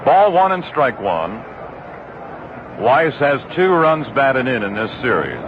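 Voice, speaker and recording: A man's voice: medium pitch, very animated, slow. A man doing live radio baseball play-by-play in clipped, descriptive phrases. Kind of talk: live radio sports commentary. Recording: muffled, very noisy 1960s radio broadcast.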